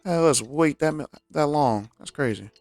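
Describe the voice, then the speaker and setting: A man's voice: on the high side, normal speed, darkish tone, no echo, soft background music. A man streaming a video game, reacting casually in informal, slangy speech.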